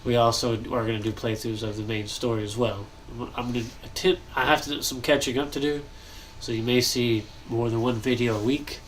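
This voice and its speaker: A young man with a stuffy, nasal voice, chatting casually and thinking aloud while he builds in a game, pausing often to cough or clear his throat. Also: mid-range voice, level tone, a little hiss or hum, low-quality recording. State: congested, nasal. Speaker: gaming channel host.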